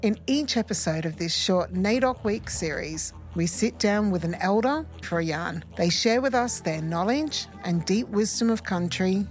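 An Australian woman hosting a podcast, reading a scripted intro and then chatting with her guest. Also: on the low side. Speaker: podcast host.

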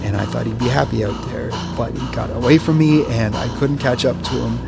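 An uneasy, earnest young man played by an actor in an audio drama. He has a medium-pitched voice and a halting, agitated delivery weighed down by guilt. Music plays clearly behind him. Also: steady tone, lightly acted. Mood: guilty, confessional. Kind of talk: naturalistic audio drama acting.

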